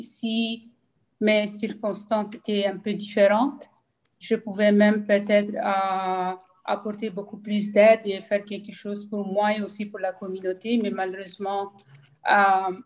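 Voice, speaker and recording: A woman with an Arabic accent speaks French slowly and carefully. She searches for her words, since Arabic is her first language. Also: medium pitch, a little mellow. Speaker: forum participant.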